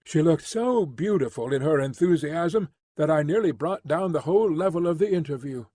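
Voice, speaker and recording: A man narrating an audiobook with measured, expressive storytelling. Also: a little high, no echo, clean studio sound. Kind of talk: scripted narration.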